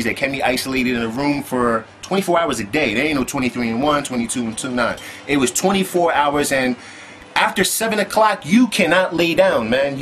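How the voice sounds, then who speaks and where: A man's voice: a little high, fairly bright tone, a little hiss or hum. Profane streetwise man storytelling. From United States. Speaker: vlogger telling his own story. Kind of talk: casual storytelling monologue.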